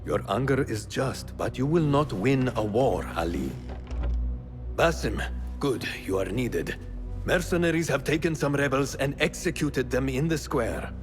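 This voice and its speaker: This is a man voicing a cautious mentor who weighs every word. He gives grave, measured counsel, his pitch moving widely, while clear music plays underneath. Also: lively intonation, natural voice. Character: wise older male mentor, cautious. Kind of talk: video game cutscene acting. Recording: music playing behind.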